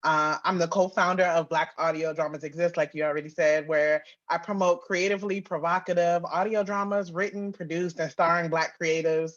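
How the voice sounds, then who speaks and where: A woman's voice: on the low side, fairly even intonation, moderate pace, hardly any pauses. Bit muffled call audio, american woman from virginia chatting as an interview guest. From Virginia, United States. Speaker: podcast guest, audio drama creator. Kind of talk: conversational interview answers. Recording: slightly dull, lo-fi call audio.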